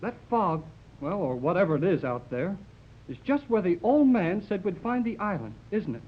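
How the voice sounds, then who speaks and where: An actor playing an eager, excitable young radio operator in 1930s radio serial style, breathless and insistent. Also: male voice, high-pitched, normal speed. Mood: excited, insistent. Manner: breathless, insistent, boyish questioning. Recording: muffled old 1930s radio recording.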